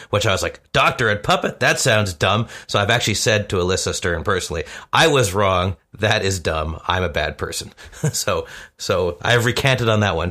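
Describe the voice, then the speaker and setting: A man's voice: on the low side, sharp tone. A man speaking as a podcast guest, chatting casually in a wry, self-deprecating way. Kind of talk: casual interview chat.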